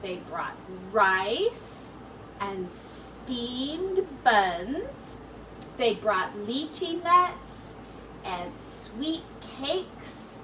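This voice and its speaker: A woman teacher reading a storybook aloud to children in an expressive, deliberate way with drawn-out words. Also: fairly high pitch. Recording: distant mic with clear background noise.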